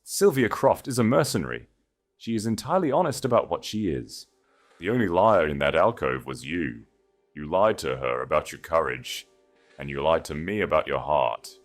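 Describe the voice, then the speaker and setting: A man reading as a cold, cutting noblewoman, low-voiced. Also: animated, faint music behind. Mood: cold accusation. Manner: cold, measured, precise. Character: cold, composed, cutting young noblewoman betrayed in love.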